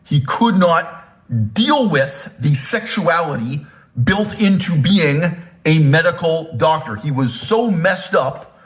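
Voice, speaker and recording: A man giving a political commentary monologue to viewers, lecturing emphatically, stressing key words with sharp pitch jumps and checking in with "right?" and "okay?". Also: expressive, slow pace. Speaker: channel host.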